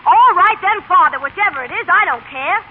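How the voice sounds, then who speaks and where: High-pitched, restless young wife. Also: female voice, expressive, a little fast. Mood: defiant. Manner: slangy, pleading, defiant. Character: restless, brassy young working-class wife and new mother. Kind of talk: 1930s radio acting. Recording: noisy, tinny 1930s radio broadcast.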